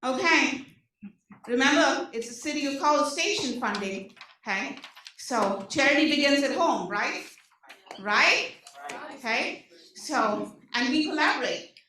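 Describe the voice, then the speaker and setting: A woman with an Indian accent gives an animated talk to a room of service providers, full of rhetorical questions and frequent "okay?" check-ins. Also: high-pitched, unhurried, long pauses, a little hiss or hum. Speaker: nonprofit program presenter. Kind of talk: energetic public talk to an audience. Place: large meeting room. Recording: echoey hall, speaker far from mic.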